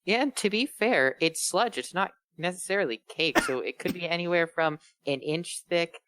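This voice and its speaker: A man's voice: fairly high pitch, expressive, normal speed, fairly bright tone, clear, resonant tone, no room sound. A man co-hosting a fan fiction read-along, chatting casually in rambling, mock-earnest explanations. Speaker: co-host reading fan fiction aloud. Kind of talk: casual chat with joking riffs.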